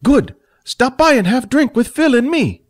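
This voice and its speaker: A man voicing Fat, a small, tipsy, giddy male creature with a high, slurred voice. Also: very animated, unhurried, full character voice. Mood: cheerful.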